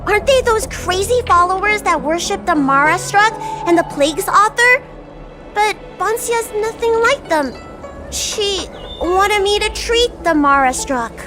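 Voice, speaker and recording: Very high, excitable little girl healer. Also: unhurried, over music. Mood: puzzled, earnest. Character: childlike young girl healer, earnest, excitable, trusting. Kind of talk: video game voice acting.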